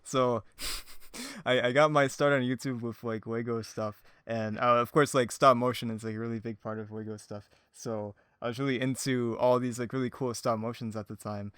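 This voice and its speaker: A man's voice: mid-range voice, steady tone, moderate pace, fairly bright tone, clear, resonant tone, no room sound, clean studio sound. A man chatting casually as a podcast guest, rambling through self-deprecating anecdotes peppered with "like". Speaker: podcast guest, hobby audio drama creator. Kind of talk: casual chat, telling anecdotes.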